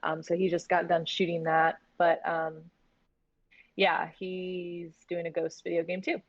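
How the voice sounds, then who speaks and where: Dull lo-fi sound, American woman, lowish pitch, casual interview guest, halting and candid. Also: level tone. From Florida, United States. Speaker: guest, horror series producer. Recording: dull, lo-fi audio.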